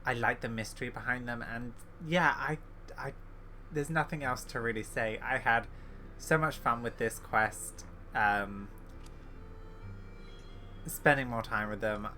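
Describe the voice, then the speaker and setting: A man's voice: medium pitch, a little slow, long pauses, sharp tone, slight background noise. A man giving casual, enthusiastic let's-play commentary as a gaming YouTuber.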